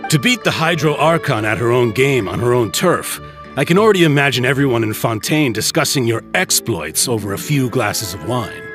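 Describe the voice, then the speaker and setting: A man's voice: medium pitch, lively intonation, normal speed, fairly bright tone, faint music behind, lightly acted. A man playing a courteous, protective middle-aged uncle and guild leader in a video game. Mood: genial, impressed. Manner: courteous, theatrical.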